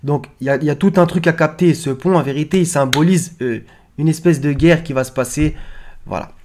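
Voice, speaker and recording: A man's voice: on the high side, a little fast, darkish tone. Rambling man giving informal video commentary. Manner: rambling, explanatory, insistent, often trailing off with "et cetera". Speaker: online video host. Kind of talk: informal screen-share commentary on film posters.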